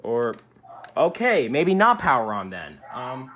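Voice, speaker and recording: A young man with an ordinary middle-pitched voice talks loosely and unscripted, wandering off topic and swearing under his breath now and then. A faint hiss sits under the recording. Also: big pitch swings, takes it slowly. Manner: laid-back and rambling, with mild swearing. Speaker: radio collector showing his collection. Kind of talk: casual rambling product demo.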